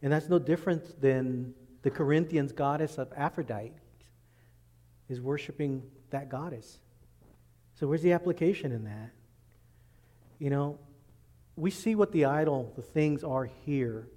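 A man preaching a sermon as pastor, working through scripture and acting out quoted speech in lively voices. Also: fairly high pitch, unhurried, pauses often. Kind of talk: expository sermon. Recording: long reverb in a large hall.

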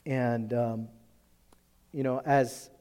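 A man, a pastor, tells a personal story in a conversational, halting sermon style, often acting out the dialogue. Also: medium pitch, level tone, darkish tone. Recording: echoey hall with long reverb.

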